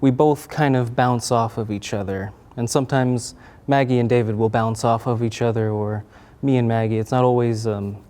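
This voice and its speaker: A man's voice: mid-range voice, fairly even intonation, normal speed, warm tone. A young man, a comic co-creator, answering casually in an interview.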